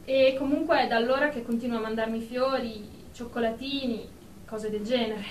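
A woman's voice: a little high, fairly even intonation, moderate pace, lightly acted. An actress playing a haughty, easily offended professional woman in a radio drama, speaking in a formal, superior, indignant manner. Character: haughty, prim, easily offended female office professional in therapy. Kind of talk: Italian radio drama acting. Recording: echoey room, distant mic, background noise.

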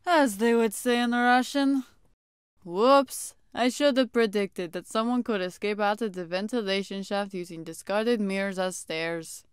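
A woman's voice: mid-range voice, a little slow, clean tone, lightly acted. A woman voicing a sinister, sardonic young killer robot with a menacing manner in a cartoon fan dub. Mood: mocking. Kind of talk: animated series fan dub acting.

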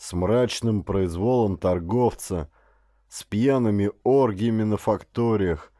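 A man's voice: fairly low pitch, warm tone, dry recording, clean studio sound, close to an everyday voice. A man voicing a stern, learned father in an audiobook, reading aloud in a grave, emphatic way. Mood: grave, impassioned.

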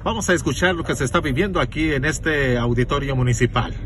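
A man hosts a live interview in an easygoing, devout manner. His voice sits at a middle pitch, and a faint ambient hum is heard behind him. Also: quick pace. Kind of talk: broadcast interview hosting.

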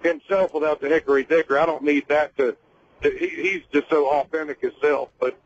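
Male caller, fairly high voice, halting and plain-spoken, casual phone-in chat over muffled lo-fi audio. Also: steady tone, a little hiss or hum. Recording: dull lo-fi phone-in line.

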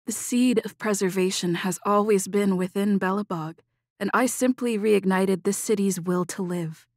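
A woman acts as a young female space traveler from a video game. She is calm and reflective, with a measured delivery and quiet resolve. Her medium-pitched voice sounds close and dry, free of any room. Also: no echo, natural voice. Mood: solemn, resolute. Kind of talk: scripted video game character voice lines.

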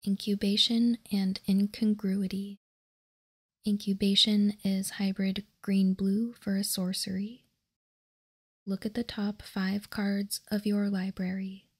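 A woman whispers in an ASMR style, close to the microphone. Her delivery is very slow and deliberate, with an even, flat pitch. The voice is breathy and hushed as she reads aloud. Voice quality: soft unvoiced whisper, breathy. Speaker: ASMR host. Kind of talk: ASMR, reading card text aloud.

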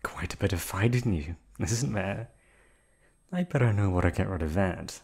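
A man's voice: low-pitched, moderate pace, vocal fry, a little mellow, a little hiss or hum. A man as an obsessive yandere receptionist. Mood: whispery. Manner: silky polite, turns menacing and whispery. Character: obsessive male yandere hotel receptionist kidnapper. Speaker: voice actor. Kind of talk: ASMR roleplay audio drama.

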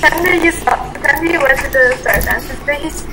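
A young woman chatting casually while playing a game, excitable and prone to repeating her words. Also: high-pitched, normal speed, noisy background. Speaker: gamer, friend playing along. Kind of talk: casual chat during gameplay.

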